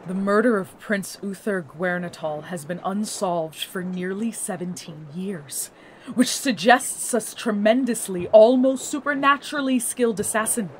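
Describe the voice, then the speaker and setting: An actress playing an earnest young scholar, speaking in formal, precise, methodical phrases for a fantasy audio drama. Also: female voice, a little high, slight background noise, lightly acted. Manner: formal, precise, bookish wording.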